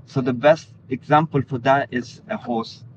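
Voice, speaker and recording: A man's voice: mid-range voice, fairly even intonation, moderate pace, darkish tone. Muffled, noisy recording of a man from Denmark. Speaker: interview guest. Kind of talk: earnest religious conversation. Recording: muffled lo-fi audio, clear background noise.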